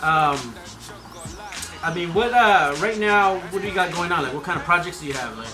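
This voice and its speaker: A man's voice: sits high, expressive, normal speed. A man hosting a casual interview show, chatty and encouraging, with an easy slangy way of talking. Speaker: show host. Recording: echoey room with loud music behind.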